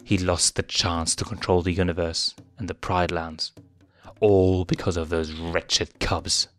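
Low-voiced male fan-fiction reader, steady narration, soft music bed. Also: measured pace. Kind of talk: reading fan fiction aloud.

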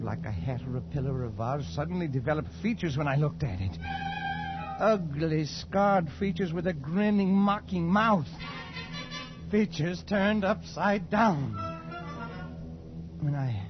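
A man playing a haunted, unravelling colonial adventurer telling his tale with intense, halting pauses in a post-war radio drama. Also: fairly high pitch. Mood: feverish. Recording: dull vintage radio with loud music behind.

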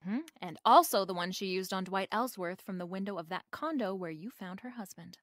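A woman's voice: medium pitch, brisk, fairly bright tone, dry recording, clean studio sound, close to an everyday voice. An actress playing a wry, confident female private detective in a radio drama, with a breezy, slangy, wisecracking delivery. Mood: wry, explaining.

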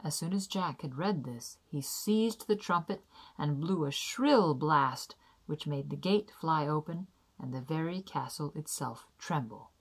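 A young adult woman reading a children's fairy tale aloud in a deliberate, careful storybook manner. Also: sits low, husky. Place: small indoor room.

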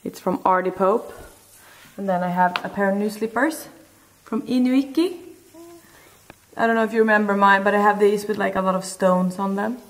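A woman's voice: mid-range voice, fairly even intonation, normal speed, low-quality recording. A young woman with a Swedish accent chatting casually to her handheld camera as a vlogger. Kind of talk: casual vlog chat.

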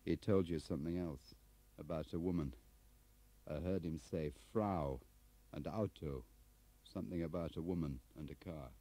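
Guy doing a bitter blunt british investigator, sarcastic and needling, dark voice, audiobook drama. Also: extremely low pitch, normal speed. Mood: bitter, weary.

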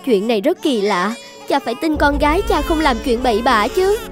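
Casting: wronged cartoon maiden, high lamenting voice, young, light music underneath. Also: female voice, expressive, moderate pace. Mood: indignant, earnest. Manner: plaintive, lamenting. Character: wronged, sorrowful young daughter and new mother. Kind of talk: animated fairy-tale dubbing.

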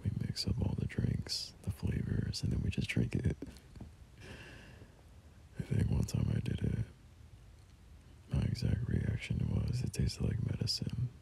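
Very deep whispery boyfriend played by a man. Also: wildly swooping pitch, slight background noise, full character voice. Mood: rambling, amused. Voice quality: breathy, whispery, gravelly low murmur. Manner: gentle, hesitant, unhurried reassurance. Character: caring, soothing young boyfriend tending a sick partner. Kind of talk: boyfriend roleplay ASMR, soft half-whispered intimate talk.

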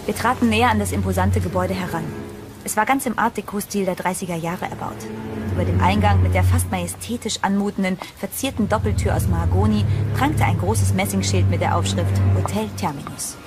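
An actress plays a young woman traveller in a horror radio play. Her voice sits at a middle pitch and she speaks with earnest, uneasy drama. Music plays clearly behind her. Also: brisk, lightly acted. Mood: awed storytelling. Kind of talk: German horror audio drama acting and narration. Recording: voice over prominent music.

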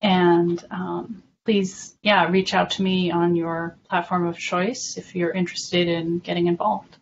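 A woman explaining things informally in an online meeting, measured and a little hesitant as she goes. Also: on the low side, level tone. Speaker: documentation working group member presenting. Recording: dull lo-fi online call with faint noise.

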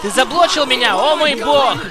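A man's voice: very high voice, normal speed, a crowd in the background. A man dubbing a gamer who leads the group, bossy and teasing. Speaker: voice-over dubber of a gaming group. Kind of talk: comic gaming chat dub.

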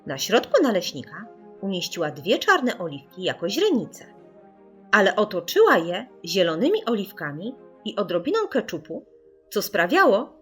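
A woman reading a children's story in an expressive, theatrical storytelling manner. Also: medium pitch, slightly rough. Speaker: audiobook narrator.

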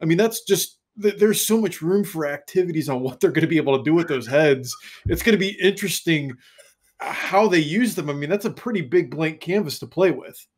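A man in his thirties co-hosting a casual car show, talking in a relaxed, rambling way on a headset. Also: fairly high pitch, brisk. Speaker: co-host. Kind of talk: casual podcast chat.

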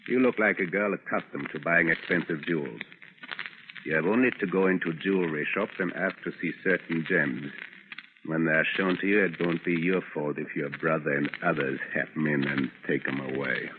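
An actor in a 1930s radio drama playing a menacing, smooth crooked lawyer who speaks with cool, deliberate threat. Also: male voice, very deep voice. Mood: smooth coercion. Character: menacing shyster lawyer, smooth blackmailing crime boss. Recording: scratchy 1930s radio, phone-like, long reverb.

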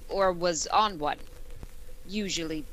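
A woman playing a wisecracking English teacher in old-time radio comedy style, with dry, deadpan wit. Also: on the low side, slow, slight background noise, rough recording. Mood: polite, evasive. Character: wry, wisecracking adult female English teacher.

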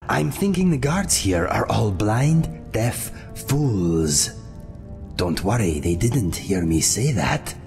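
A man acts out a feline adventurer from a fantasy video game, speaking slowly with a gravelly creak. He is wry and honorable, with formal, deadpan phrasing and a lively, swinging intonation. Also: on the low side, faint music behind, full character voice. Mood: dryly musing. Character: feline Khajiit adventurer, wry, guilt-ridden, honorable companion. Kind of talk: video game character dialogue.

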